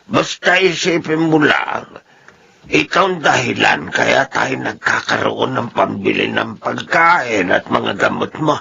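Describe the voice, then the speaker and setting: An actor playing a doting, hardworking old grandfather in a Filipino radio drama, speaking slowly and tenderly with an aged, gravelly voice. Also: mid-range voice, lively intonation, pushed and bright, heavily acted. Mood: tender, worried. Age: older. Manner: slow, tender, fussing. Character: doting, hardworking old grandfather who sells homemade candy. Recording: dull, slightly noisy radio recording.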